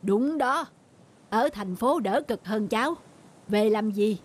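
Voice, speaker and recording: A woman's voice: medium pitch, animated, slow. A woman voicing a worried middle-aged village fisherwoman in a cartoon folk tale, plain-spoken and cautioning.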